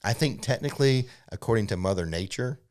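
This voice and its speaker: A man's voice: on the low side, expressive, fairly quick, darkish tone. A man co-hosting a casual, unscripted talk, explaining things informally and matter-of-factly with some hedging, as he answers viewer questions. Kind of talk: casual unscripted theme park news chat. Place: studio.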